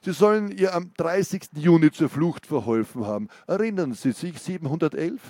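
A man reading aloud and voicing a cold, controlled male interrogator who asks curt, measured questions. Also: on the high side, lively intonation, mellow, dry recording, studio quality.

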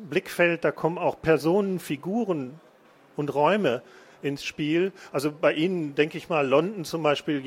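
Man moderating with long, winding questions. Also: on the high side. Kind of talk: panel moderation.

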